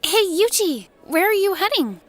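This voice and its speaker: Very high-pitched emotional anime schoolgirl. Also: very animated, normal speed. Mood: sweet, teasing. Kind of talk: anime-style audio drama acting.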